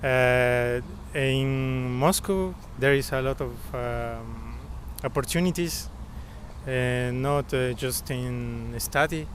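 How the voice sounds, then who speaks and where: A twenty-five-year-old man from Ecuador, a native Spanish speaker, giving halting answers in a street interview. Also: medium pitch. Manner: halting, pausing between words. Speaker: foreign interviewee. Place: outdoors on a city street. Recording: outdoor street, faint traffic noise.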